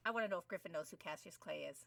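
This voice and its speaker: A woman's voice: lowish voice, racing, creaky, sharp tone, no echo. A middle-aged woman co-hosting a podcast with casual, playful questions, recorded at a distance from the microphone. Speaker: podcast co-host.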